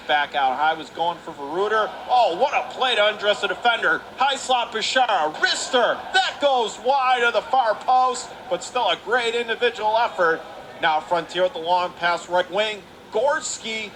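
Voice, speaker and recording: A male sports commentator with a notably high voice calls a live hockey game. He speaks in short, clipped phrases and lifts into excitement on big plays. Clear rink noise runs behind him. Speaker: radio play-by-play commentator. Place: indoor ice hockey arena.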